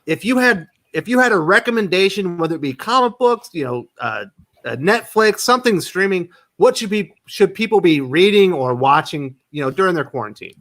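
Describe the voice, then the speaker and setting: Guy hosting a stream, kinda high voice, really up and down when he talks. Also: animated, a little fast, a bit bright. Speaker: livestream host. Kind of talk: casual livestream chat.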